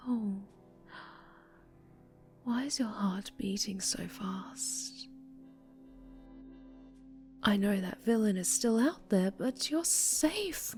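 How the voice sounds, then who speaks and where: A woman playing an obsessive female superhero captor in an ASMR roleplay, speaking in breathy coos and whispers with sweet, possessive menace. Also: medium pitch. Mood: soothing, intimate. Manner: breathy cooing that slips into whispers and soft menace. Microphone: close microphone.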